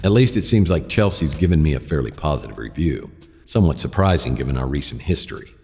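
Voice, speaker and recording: An actor playing a wry, world-weary private detective in a hardboiled radio drama, narrating with deadpan, self-deprecating wit. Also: male voice, extremely low pitch, fast, big-room sound, faint music behind.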